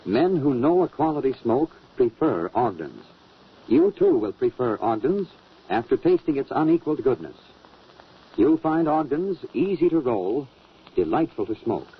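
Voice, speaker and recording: A man with a husky voice announcing and selling in the style of an old-time radio commercial. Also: mid-range voice, big pitch swings, moderate pace. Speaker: radio announcer and sponsor pitchman. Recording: dull vintage radio recording.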